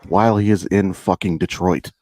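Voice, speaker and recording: An American man co-hosting a podcast, chatting casually and telling stories in a blunt, animated way with plenty of swearing. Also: deep voice, normal speed, dry recording, studio quality. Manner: blunt, profane, animated storytelling. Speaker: podcast co-host. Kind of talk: casual chat.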